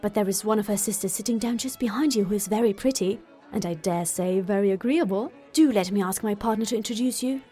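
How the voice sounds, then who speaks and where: Woman reading as a haughty, aloof young gentleman. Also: medium pitch, fast, soft background music, lightly acted. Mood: cold, disdainful. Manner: cold, clipped, haughty. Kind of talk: audiobook character voice.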